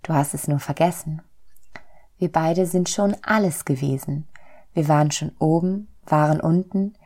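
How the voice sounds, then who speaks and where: A woman voicing a kindly, loving soul in a storybook, gentle, reassuring and earnest. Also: low voice, a little slow. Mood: tender, earnest.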